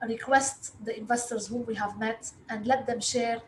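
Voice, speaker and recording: Interview guest: woman from Lebanon, native Arabic speaker, highish voice, halting delivery, roomy echoing sound. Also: fairly even intonation. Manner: halting, hesitant delivery with frequent long filled pauses. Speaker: interview guest, investor relations director. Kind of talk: interview answers. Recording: echoey room with faint background noise.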